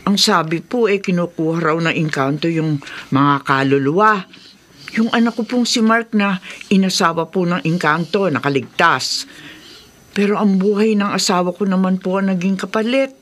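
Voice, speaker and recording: Anxious, deferential middle-aged housemaid. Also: female voice, fairly low pitch, normal speed, fairly bright tone. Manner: hesitant, respectful, anxious. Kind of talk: horror radio drama acting.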